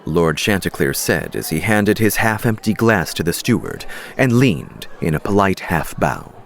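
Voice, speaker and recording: A man's voice: deep voice, very animated, measured pace, gravelly. A man narrating a scripted story as an audiobook narrator.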